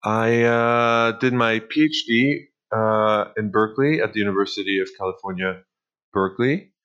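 A middle-aged man with a light Israeli accent, answering interview questions thoughtfully with hesitant pauses. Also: fairly low pitch, a bit bright, muffled recording. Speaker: guest scholar.

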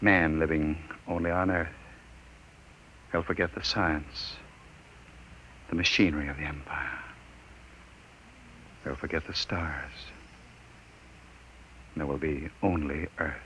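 A man's voice: rumbling low, a little hiss or hum, heavily acted, low-quality recording. An actor playing a desperate, imprisoned male scientist in a 1950s radio drama. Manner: shouting in fury, later quiet and despairing. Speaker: lead actor.

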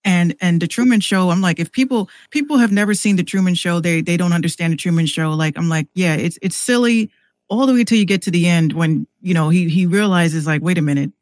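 A man's voice: high-pitched, brisk, dry recording. A man talking casually as a podcast guest, rambling and repeating words as he works out his thoughts. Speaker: podcast guest and music maker. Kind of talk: casual conversational podcast talk.

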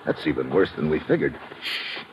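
An actor playing a tough, wry American adventurer with a sardonic, deadpan delivery in an old-time radio drama. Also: male voice, a bass voice, normal speed. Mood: wary. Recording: muffled, noisy old radio recording.

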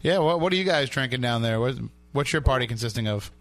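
A man's voice: mid-range voice, quick pace, faint music behind. A man hosting a radio talk show, chatting casually with callers and stumbling over restarts. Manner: relaxed, friendly, stammers on restarts.

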